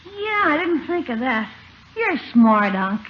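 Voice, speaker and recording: A woman's voice: high voice, very animated, slow pace, full character voice. A woman playing an eager, slangy, scheming young boy in a 1950s radio sitcom. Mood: let down, protesting. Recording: muffled, noisy old radio broadcast recording.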